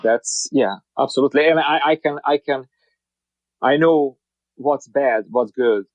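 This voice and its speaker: A man's voice: mid-range voice, measured pace, darkish tone, no room sound. A man with a Hungarian accent talks as a podcast guest in imperfect English, stumbling and repeating words as he restarts his sentences, then pressing his points emphatically. The sound is dry and close, with a slightly dull, muffled tone. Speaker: guest, car wrap shop owner. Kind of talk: casual interview.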